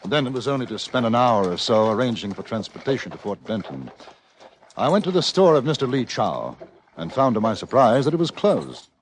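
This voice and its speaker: Casting: male actor as frontier correspondent, medium pitch, lively intonation, polite and formal, old-time radio western. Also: a little fast, slight background noise, natural voice. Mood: measured narration. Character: courteous British newspaper correspondent in the Old West. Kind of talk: old-time radio drama narration and dialogue.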